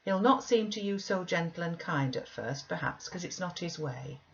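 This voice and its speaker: Casting: woman to read a patient, persuasive female servant for a storybook, lowish voice, slightly muffled recording. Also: animated, moderate pace, fairly bright tone. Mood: patient, encouraging. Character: coaxing, reassuring woman servant soothing a child. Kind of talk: audiobook character reading.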